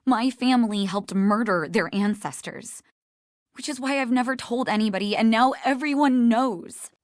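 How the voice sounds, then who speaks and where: An actress voicing an earnest, guarded young museum worker in a video game, speaking emphatically and protectively. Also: female voice, fairly high pitch, normal speed, no room sound, clean studio sound, lightly acted. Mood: confessional, upset.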